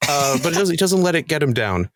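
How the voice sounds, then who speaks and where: A man's voice: a little high, very animated, fast talker. A man talking casually as a cast member in a podcast panel, telling stories with acted-out asides. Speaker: podcast cast member discussing their show.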